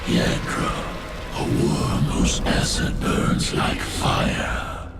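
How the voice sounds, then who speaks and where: An actor playing an ancient primal alien beast elder who speaks in solemn, commanding proclamations. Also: male voice, drawn-out words, loud music behind the voice.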